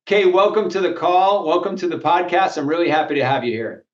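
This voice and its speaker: A man speaks as a podcast host in a conversational interview style. His voice sits a little higher than most men's. The recording is noticeably roomy, with echo around the words. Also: a little fast, dull audio, a little hiss or hum.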